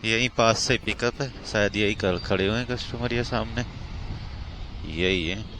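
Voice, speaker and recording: A man's voice: lowish voice, moderate pace. North Indian man vlogging over traffic noise. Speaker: vlogger and bike taxi rider. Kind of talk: casual chatty daily vlog. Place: outdoors on city roads and worksite. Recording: steady outdoor traffic and vehicle noise.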